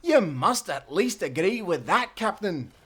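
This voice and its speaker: Man playing a high-voiced, bold Scottish timberman. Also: sing-song, measured pace, full character voice. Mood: scornful, defiant. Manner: gruffly defiant, swaggering. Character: bold, defiant Scottish timberman, brawling adventurer. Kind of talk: audio drama acting.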